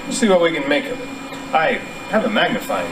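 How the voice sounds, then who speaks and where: Animated, excitable male professor played by an actor. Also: fairly high pitch, fairly quick. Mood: excited, curious. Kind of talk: stage radio-drama acting. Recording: echoey distant stage, noisy.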